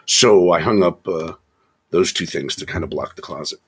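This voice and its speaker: An older man with a naturally loud voice, chatting casually from his home bedroom and rambling with many fillers. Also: low voice, normal speed, breathy edge, no echo. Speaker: guest and chat moderator. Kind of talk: casual chat.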